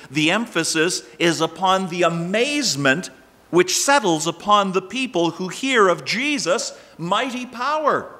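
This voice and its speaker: Older male pastor, slow deliberate delivery, bright tone, pulpit mic in a church sanctuary. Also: on the high side. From Canada. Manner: measured, expressive preaching with emphatic rises. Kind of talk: sermon.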